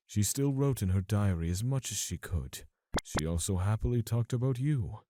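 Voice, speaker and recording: A man voicing a gentle, somber middle-aged doctor who speaks softly and apologetically in a manga dub. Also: sits low, noisy background, lightly acted. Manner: soft, apologetic.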